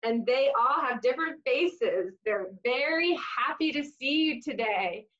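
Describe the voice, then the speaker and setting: A woman in her thirties reading a picture book aloud to young children, expressively, with exaggerated emphasis and drawn-out words. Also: high voice, animated, fairly bright tone, dull audio. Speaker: children's storytime reader. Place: small room indoors, seated on floor.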